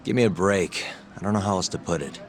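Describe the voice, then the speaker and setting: Low-voiced, blunt detective played by a man. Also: quick pace, soft background music, close to an everyday voice. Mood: dry, casual. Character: gruff, world-weary male private detective. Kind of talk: video game voice acting, cinematic dialogue.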